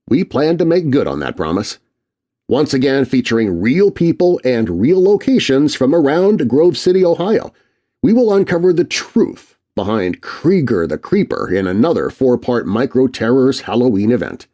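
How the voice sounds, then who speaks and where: Male narrator, middle pitch with an animated range, expressive read of letters for a kids' scary podcast. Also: normal speed. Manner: expressive reading of letters aloud. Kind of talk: scripted storytelling narration.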